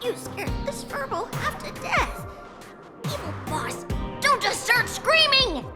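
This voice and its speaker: A woman's voice: very high-pitched, sing-song, takes it slowly, full character voice. A woman voicing a small, cute, timid furry assistant creature in a game, with a cutesy, plaintive whine. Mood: indignant. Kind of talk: game voice acting. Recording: music playing behind.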